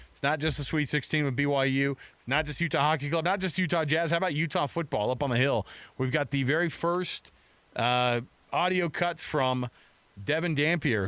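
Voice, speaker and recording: A man's voice: medium pitch, fairly bright tone, clear, resonant tone, dry recording. A man hosting a sports talk radio show, chatting informally in a casual, bantering way from a studio. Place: radio studio in Salt Lake City. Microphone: close studio microphone.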